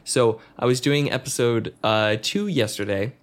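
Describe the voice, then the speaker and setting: A man's voice: medium pitch, unhurried. A man co-hosting a podcast in casual chat, explaining things easygoingly with hesitant fillers. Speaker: podcast co-host.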